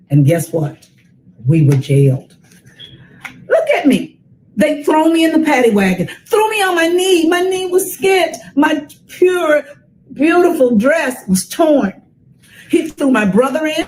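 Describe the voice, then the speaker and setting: An American woman in her sixties reads a children's story aloud with dramatic, deliberate phrasing and emphatic repetition. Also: sits high, sing-song, darkish tone, slight background noise, low-quality recording. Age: 60s.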